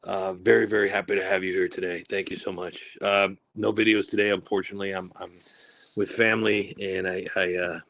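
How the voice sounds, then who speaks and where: A man with a lisp, chatting on a live stream from outdoors in the woods in a halting, rambling way full of fillers. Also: low voice, flat delivery, a bit bright. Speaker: livestream host. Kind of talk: casual live-stream chat.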